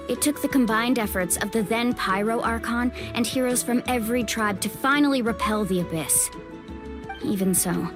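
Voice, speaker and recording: A woman's voice: mid-range voice, natural voice. A woman voicing a friendly, confident young warrior in an anime fantasy game, with an easygoing, earnest way of talking. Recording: music behind the voice.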